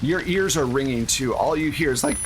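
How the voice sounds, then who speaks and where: A man with a medium-pitched voice acts as game master, narrating a tabletop roleplaying game in a relaxed conversational style. A faint rain-like noise sits behind him. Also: brisk. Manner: casual, conversational.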